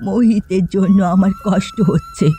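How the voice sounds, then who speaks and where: Woman doing a bitter, animated old mother-in-law. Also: medium pitch, normal speed, soft background music. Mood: bitter, scolding. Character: quarrelsome, grieving old village mother-in-law. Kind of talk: Bengali moral-tale cartoon dubbing.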